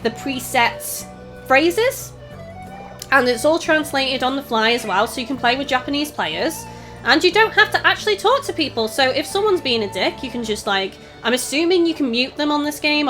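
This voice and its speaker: A woman's voice: high-pitched, animated, normal speed, fairly bright tone, faint music behind. A woman with a Northwest English Scouse accent touched by Welsh, chatting casually and reading out chat as a live gaming host in a raised, projecting voice. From Northwest England. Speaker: livestream host, gamer. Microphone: desktop USB microphone.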